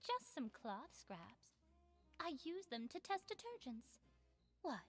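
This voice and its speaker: A woman's voice: high-pitched, very animated, slow pace, heavily acted. An actress playing a defiant, evasive female bar owner in a video game, with a haughty, curt delivery. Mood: defiant, dismissive.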